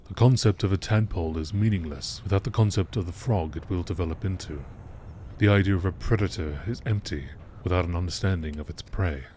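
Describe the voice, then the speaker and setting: A man reading written text aloud as scripted narration in a measured, nature documentary style. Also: low-pitched, darkish tone, slight background noise. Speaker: narrator reading in-game lore texts.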